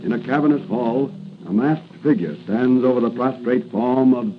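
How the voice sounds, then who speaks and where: A man's voice: medium pitch, moderate pace, noisy background. A man narrating a radio serial in a portentous, theatrical 1940s announcer style. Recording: tinny 1940 radio broadcast recording. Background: music.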